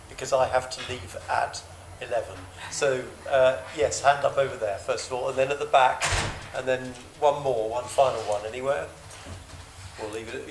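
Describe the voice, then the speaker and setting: A man chairing a panel session, briskly handing the floor to speakers and keeping things moving. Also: medium pitch. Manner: brisk, directive. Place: large parliamentary committee room. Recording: echoey room with background noise.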